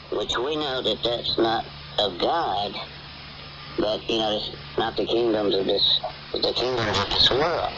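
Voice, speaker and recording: American male caller, lowish voice, halting religious testimony at a very slow pace, heard over muffled shortwave radio. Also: background noise. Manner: halting, repeats and stumbles over words. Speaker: caller leaving a religious message.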